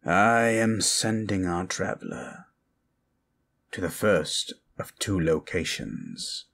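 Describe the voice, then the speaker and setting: A man voicing a grave dark sorcerer turned to good, solemn, measured and commanding, in a fantasy ASMR roleplay. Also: sits low, full character voice. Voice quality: husky.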